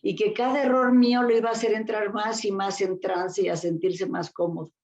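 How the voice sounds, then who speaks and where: A woman's voice: mid-range voice, fairly even intonation, brisk, darkish tone. Older woman, Mexican accent, rambling anecdotes, dull lo-fi recording. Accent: Mexican Spanish. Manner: rambling recollection with many fillers and restarts. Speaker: guest therapist. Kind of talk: casual interview storytelling.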